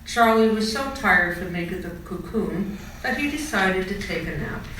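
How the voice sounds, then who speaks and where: A woman reading a children's storybook aloud in an expressive, dramatic storytelling style. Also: mid-range voice, normal speed. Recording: echoey room, distant mic, faint noise.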